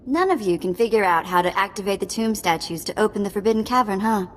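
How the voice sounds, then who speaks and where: An actress playing a sassy, defiant alien slave treasure hunter in a video game, sarcastic and full of wisecracks. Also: female voice, mid-range voice, lively intonation, fast talker, a little hiss or hum, lightly acted. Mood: wry. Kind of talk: scripted video game drama acting.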